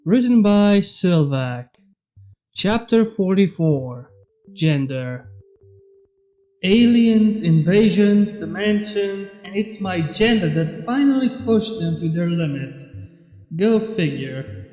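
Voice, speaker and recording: A man's voice: high voice, expressive, takes it slowly, a little mellow, rough recording. A man reading fan fiction aloud as scripted story narration.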